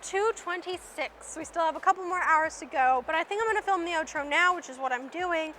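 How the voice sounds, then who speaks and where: A woman's voice: very high-pitched, a little fast, pushed and bright, a little hiss or hum. A woman from Canada hosting a reptile vlog, chatting upbeat and enthusiastic with vendors at an expo while losing her voice. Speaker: reptile vlogger and host. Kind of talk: casual vlog interviewing. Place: indoor reptile expo hall.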